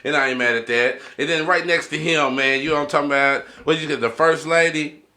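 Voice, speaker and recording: Fast-talking, bright-voiced American man hosting. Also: a little high, steady tone. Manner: slangy, repeats "you know what I'm talking about". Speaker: podcast host. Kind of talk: casual chat, hosting. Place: podcast studio.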